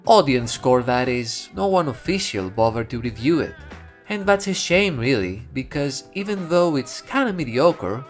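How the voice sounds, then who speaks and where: Male reviewer with a Greek accent, mocking and sarcastic, highly animated, faint music underneath. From Greece. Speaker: movie reviewer. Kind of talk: comedic movie review narration.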